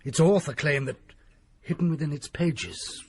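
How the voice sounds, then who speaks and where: An actor playing a secretive country doctor who tells a grim tale in a grave, hushed manner. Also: male voice, a little high, unhurried, slight background noise, lightly acted. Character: desperate, secretive middle-aged male country doctor. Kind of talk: period radio drama acting.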